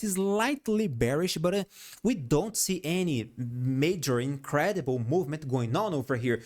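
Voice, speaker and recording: A Brazilian man with a Portuguese accent, a non-native English speaker hosting stock chart analysis, who often repeats himself and adds "all right?" to his points. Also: sits high. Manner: animated, repeats and restarts phrases, frequent "all right?" tag. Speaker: host.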